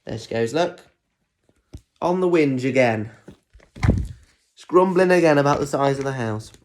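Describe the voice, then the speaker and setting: A man's voice: medium pitch, expressive, unhurried, darkish tone, slight background noise. A man reading a picture book expressively for young children, dropping in informal, playful asides. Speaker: storybook reader.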